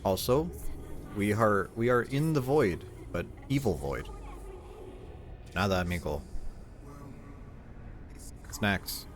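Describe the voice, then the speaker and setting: A man's voice: fairly low pitch, animated, takes it slowly, long pauses, a little hiss or hum. A man from Mexico with a Mexican accent, giving casual, sarcastic and profane commentary while streaming a game. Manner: sarcastic, profane, self-mocking.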